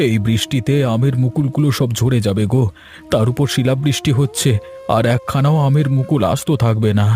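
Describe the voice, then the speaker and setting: A cartoon farmer husband, groaning and fretful, acted out by a man. His voice is soft and mellow, in a middle register, with the strained manner of someone hurt. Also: medium pitch, steady tone, a little fast, soft background music, lightly acted. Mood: worried. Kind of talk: cartoon story dialogue.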